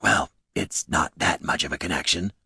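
A man's voice: sits low, moderate pace, vocal fry, sharp tone, breathy edge, no echo, studio quality, exaggerated voice. A man voicing a lonely troll who longs for friends, speaking wistfully in an audiobook.

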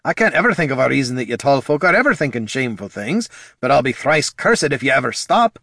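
A man voicing a gruff, blunt non-human adventurer with a grumbling manner in a fantasy audiobook. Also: mid-range voice, very animated, fast, a bit bright, no echo, studio quality, pitched above the performer's own voice. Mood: exasperated.

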